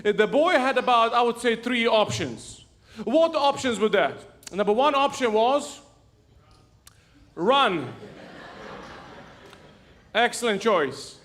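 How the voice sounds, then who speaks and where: A man's voice: extremely high pitch, animated, slow, long pauses, a bit bright. A man preaching in a church, telling funny stories and laughing at his own jokes. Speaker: pastor. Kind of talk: humorous sermon storytelling. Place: church sanctuary.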